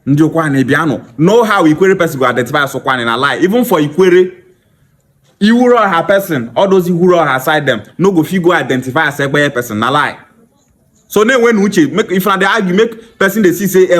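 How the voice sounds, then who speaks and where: A man with a Nigerian Igbo accent, a native Igbo speaker, speaking emphatically and insistently in a repetitive, impassioned monologue to an audience. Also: a little high. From Nigeria, Igboland. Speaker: online commentator. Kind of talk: impassioned monologue to an audience, switching between English, Igbo and Pidgin.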